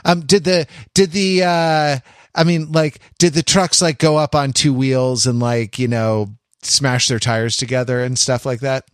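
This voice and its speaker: A man's voice: mid-range voice, fairly even intonation, measured pace, no room sound, studio quality. A man co-hosting a casual podcast, wry and mock-erudite, circling his point with hesitations and restarts. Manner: hesitant, digressive, many restarts. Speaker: podcast co-host.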